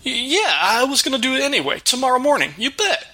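A man voicing a nervous, cowardly fat cook who stammers in a high, panicky babble. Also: sing-song, fast, pushed and bright, slightly rough, full character voice.

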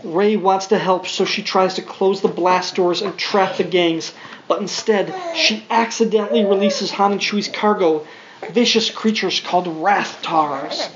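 A woman's voice: mid-range voice, unhurried. A woman reading a storybook aloud to a young child, as a parent would, with simple asides and questions. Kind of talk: read-along storybook narration with spontaneous asides to a child. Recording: dull home recording with faint noise.

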